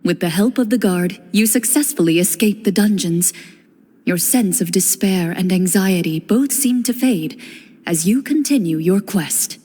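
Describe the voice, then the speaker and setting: A mellow husky game narrator. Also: female voice, mid-range voice, expressive, long reverb tail. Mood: calm. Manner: measured and descriptive. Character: composed female storyteller narrating the adventure. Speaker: narrator of the quest.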